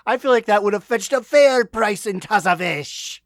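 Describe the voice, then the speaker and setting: A man's voice: sits high, expressive, moderate pace, fairly bright tone, dry recording, studio quality. A man co-hosting a podcast, chatting casually in a joking, crude, loose way. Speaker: podcast co-host.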